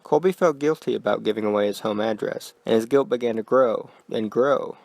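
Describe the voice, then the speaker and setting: Man, horror storyteller, quiet deliberate delivery, very dry close sound. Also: fairly low pitch, creaky, dry recording. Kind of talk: scripted horror narration.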